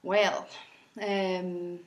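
A woman's voice: lowish voice, flat delivery, very slow. A woman who drives and edits video for the campaign, answering interview questions in a matter-of-fact, understated way. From Scotland.

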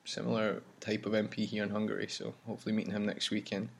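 A man's voice: on the low side, completely flat delivery, fast talker, vocal fry. A man hosting a casual chat about his campaign travels, talking in a rambling way with many restarts. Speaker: campaign leader and vlog host.

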